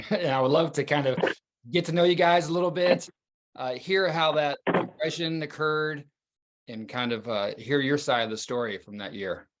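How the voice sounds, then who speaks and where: A man hosting a podcast interview over a video call, speaking in a relaxed, rambling way with fillers and restarts. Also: on the high side, moderate pace, slight background noise. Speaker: podcast host.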